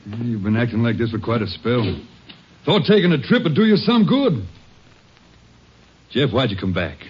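Husky, stubborn Texas dirt farmer. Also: male voice, mid-range voice, very animated, normal speed. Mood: guarded. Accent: American. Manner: folksy rural drawl. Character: stubborn, upright Texas dirt farmer, long-suffering brother. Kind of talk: 1950s western radio drama acting. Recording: muffled old radio broadcast.

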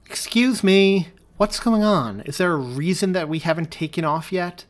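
A man voicing a tired, frazzled mother who sounds exasperated and sharp. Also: high voice, animated, normal speed, pitched above the performer's own voice.

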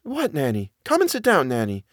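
Brief: man voicing a tense, trembling young heroine, raised and lively, dry close sound. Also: on the high side, fairly quick, no room sound, voice pushed up. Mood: anxious. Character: nervous, frightened young lady of the house.

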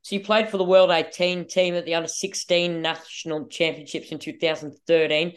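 High-pitched upbeat male host. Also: flat delivery, brisk. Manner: upbeat, eager questions. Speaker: podcast host. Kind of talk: interview podcast.

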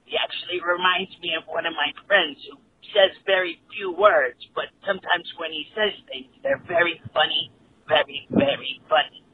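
Guy on a voicemail, slow and kinda formal, tinny phone sound. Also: fairly high pitch, steady tone. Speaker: voicemail caller. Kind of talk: voicemail message. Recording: tinny phone line with faint hiss.

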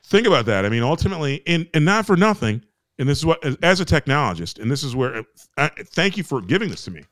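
A man's voice: on the high side, sing-song, fast talker, a bit bright, no echo, clean studio sound. An American man co-hosting a podcast, ranting in casual chat, emphatic and repetitive, with restarts and swearing. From United States. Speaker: podcast co-host.

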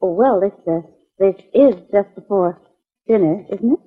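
An actress playing a polite, playfully chiding young-spirited dinner companion in a 1940s radio drama, on a dull, lo-fi recording. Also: female voice, medium pitch, normal speed, breathy edge, lightly acted.

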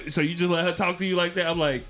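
A middle-aged man hosting a casual podcast chat, laid-back and musing, often pausing and restarting. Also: high voice, steady tone, over loud music. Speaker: podcast host.

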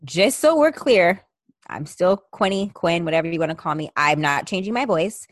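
A woman's voice: lowish voice, expressive, moderate pace, no echo, clean studio sound. A woman in her thirties co-hosting a podcast, bantering and telling stories in a blunt, teasing, casual way. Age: 30s. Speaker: podcast co-host. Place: remote video call.

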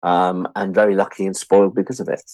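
British man in his sixties, low-pitched, chatty. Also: level tone, fast talker, a little mellow, dry recording. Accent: British English. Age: 60s. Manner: chatty, self-deprecating, acting out remembered dialogue. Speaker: interview guest, writer-producer. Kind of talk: animated anecdotal storytelling in an interview.

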